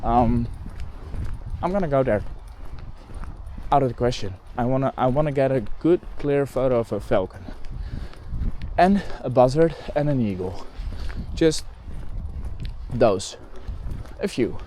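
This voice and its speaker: A man's voice: mid-range voice, lively intonation, measured pace, pauses often, warm tone, a little hiss or hum. A man with a Dutch accent, a native Dutch speaker, chatting casually and rambling as he walks outdoors, often correcting himself and leaning on "like" and "um.". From Netherlands. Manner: rambling, self-correcting, lots of "like" and "um". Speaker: bird photographer vlogger. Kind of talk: casual rambling walk-and-talk. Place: outdoors in open countryside, walking. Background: faint outdoor ambience with passing cars.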